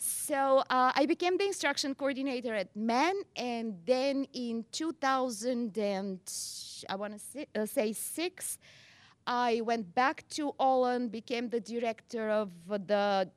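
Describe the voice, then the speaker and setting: A woman's voice: on the high side, measured pace, no echo. A middle-aged woman from Bulgaria, introducing herself to colleagues in a chatty, self-deprecating way and laughing often. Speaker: library director. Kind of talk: self-introduction at a staff gathering.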